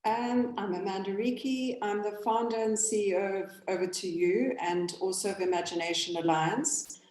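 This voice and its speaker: A woman's voice: mid-range voice, fairly even intonation, normal speed, a little mellow, echoey room, poor audio. A woman co-hosting a business webinar, speaking casually and hesitantly with frequent fillers. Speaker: research company founder and co-host.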